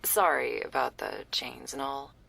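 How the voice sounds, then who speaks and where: Low, husky stoner werewolf girl, acted by a woman. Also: steady tone, fairly bright tone, heavily acted. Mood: wry, apologetic. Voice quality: breathy, husky, often dropping to a whisper. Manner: casual, crude slang, halting, soothing. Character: laid-back stoner werewolf girl, teasing yet caring lover. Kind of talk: intimate ASMR roleplay to the listener.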